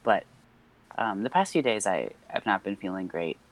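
A man's voice: fairly low pitch, big pitch swings, moderate pace, poor audio. Hesitant young man chatting casually. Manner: rambling, hesitant, many fillers, nervous laughs. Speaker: podcast co-host. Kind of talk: casual podcast chat.

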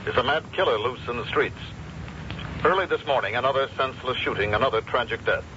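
Bright, forceful actor as grave radio newsman. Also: mid-range voice, quick pace, lightly acted. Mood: grave, sensational. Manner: grave, sensational, declamatory. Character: doleful male radio news announcer. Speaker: radio newsreader. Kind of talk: dramatic headline newsreading in a radio drama. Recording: dull radio sound with faint noise.

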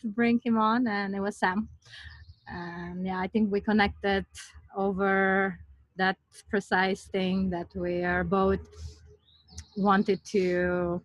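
A woman's voice: medium pitch, flat delivery, slow pace, dry recording, lo-fi sound. Woman telling her story casually. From Romania. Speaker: community organizer, podcast guest. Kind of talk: casual interview chat.

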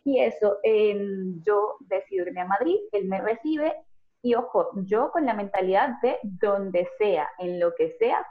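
A Venezuelan woman, a pharmacist, telling her story as a guest in a conversational interview. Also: mid-range voice. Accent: Venezuelan Spanish. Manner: fluent, lively storytelling with laughs. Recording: narrow phone-like video call audio.

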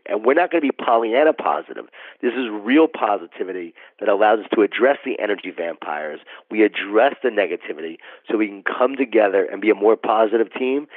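A hoarse, scratchy-voiced man preaches motivation with steady energy. His voice sits low and comes through a thin, phone-like line. The sound is close and dry, with no room around it. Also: no echo. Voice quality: scratchy, deep from pollen allergy. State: hoarse from allergies. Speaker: guest author and speaker. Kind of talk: motivational podcast interview.

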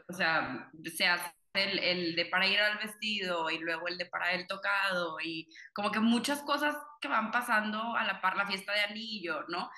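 A woman's voice: mid-range voice, bright, forceful tone. A young woman from Cuba, raised in Monterrey, chatting casually with filler-heavy, rambling reflections. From Cuba, raised in Monterrey, Mexico. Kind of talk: casual conversation. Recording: echoey room.

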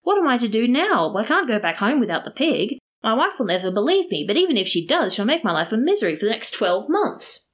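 A woman voicing a distraught, gullible man in a storybook, protesting with loud wails. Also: a little high, very animated, a little fast, hardly any pauses, pitched above the performer's own voice. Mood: despairing. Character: mean, gullible, distraught man robbed of his pig.

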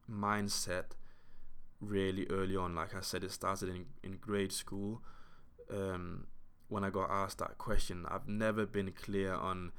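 A man's voice: low voice, fairly bright tone, smooth. A man in his twenties hosting a solo podcast, talking in an earnest, reflective way with many fillers and restarts. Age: 20s. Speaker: podcast host.

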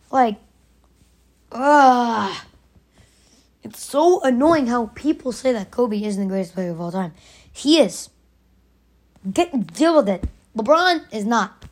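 Raspy young woman ranting emphatically. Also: sits high, a little mellow. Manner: emphatic, argumentative, repeats points. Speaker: podcast host. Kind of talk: opinionated solo podcast rant.

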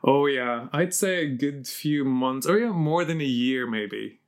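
A man's voice: mid-range voice, expressive, unhurried. A man in his thirties from Lebanon, a university lecturer, chatting as a guest and laughing easily. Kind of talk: casual interview chat.

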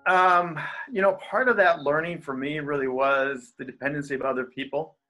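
A man with a stutter talks as a pastor and coach in an interview, energetic and quick to laugh. His voice sits a little high for a man. Faint music plays behind him. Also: poor audio. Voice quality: has a stutter. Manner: energetic, animated, laughs easily. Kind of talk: interview guest, casual talk.

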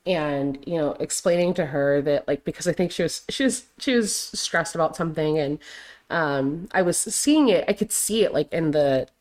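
A young adult woman hosting a casual solo podcast, talking loosely with lots of fillers, her voice going a little raspy. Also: sits low, moderate pace, darkish tone. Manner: rambling, heavy on fillers and "like". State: slightly raspy voice. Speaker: podcast host.

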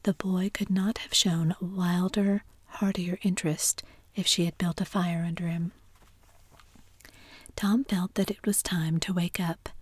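A woman narrating a classic story in a soft whisper throughout. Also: on the low side, little pitch movement, measured pace, slightly rough, no room sound. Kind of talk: whispered audiobook reading.

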